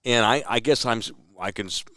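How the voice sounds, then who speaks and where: A man's voice: mid-range voice, a little fast, no echo, studio quality. A man with a Texas accent telling a story in a casual podcast chat, acting out the people he quotes. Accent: Texan. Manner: animated storyteller, quotes others in a lively voice.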